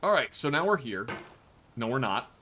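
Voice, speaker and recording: Chatty, animated male game streamer. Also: mid-range voice, moderate pace, a little hiss or hum. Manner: joking, chatty, animated reactions. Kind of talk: casual live-stream chat while gaming.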